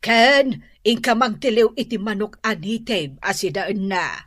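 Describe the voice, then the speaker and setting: A woman's voice: medium pitch, a little slow, fairly bright tone, no room sound, studio quality. A woman playing a doting, fussy grandmother in Ilocano radio drama, exclamatory and melodramatic, with an Ilocano accent. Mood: bossy, fussy. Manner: gushing, exclamatory, sing-song wailing.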